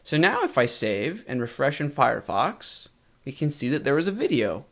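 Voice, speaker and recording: Casting: male instructor, mid-pitched with animated intonation, measured scripted lesson read, clear enunciation. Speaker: web design course instructor. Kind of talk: scripted tutorial narration.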